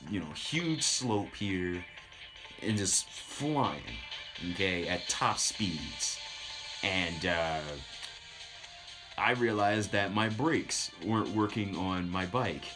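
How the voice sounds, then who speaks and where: A man's voice: fairly low pitch, a bit bright. A man casually telling anecdotes as a streamer, in an informal, self-deprecating way.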